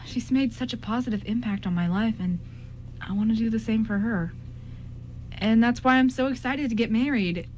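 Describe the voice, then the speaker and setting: A woman's voice: a little high, natural voice. A woman playing an anxious, lovestruck young groom in an amateur radio play, stammering and repeating words. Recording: clearly noisy amateur recording with hum.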